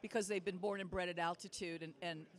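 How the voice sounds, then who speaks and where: A woman's voice: lowish voice, fairly even intonation, quick pace. A woman in a documentary interview, musing aloud with many restarts.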